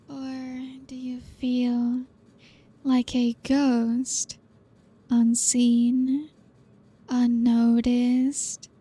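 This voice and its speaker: A young woman voicing a teasing, possessive aristocratic vampire in an ASMR roleplay, speaking slowly and softly with hushed whispers. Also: on the high side, breathy edge, exaggerated voice.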